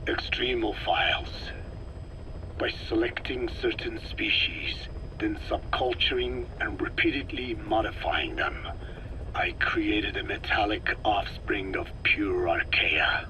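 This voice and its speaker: Slow, solemn old scientist. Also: male voice, lowish voice, steady tone, background noise. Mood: measured, lecturing. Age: older. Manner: solemn, deliberate, formal lecturing. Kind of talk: video game cutscene acting.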